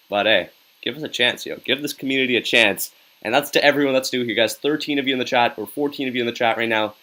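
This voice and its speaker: A man's voice: fairly low pitch, sharp tone, low-quality recording. Upbeat young man hosting a stream. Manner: upbeat and chatty, says "yo" a lot, hypes up viewers. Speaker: live-stream host reacting to a hockey game. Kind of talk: casual live-stream chat, reading viewer comments.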